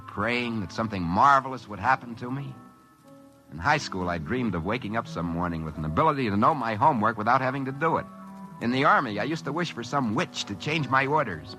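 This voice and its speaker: A work-shy American dreamer in a vintage radio drama. His voice is bright and pushy, swinging widely in pitch. He is excitable and quick to get flustered, with faint music on the old recording. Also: rough recording. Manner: excitable, stammering when flustered. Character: work-shy scheming dreamer who finds a wishing machine. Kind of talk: mid-century American radio drama acting.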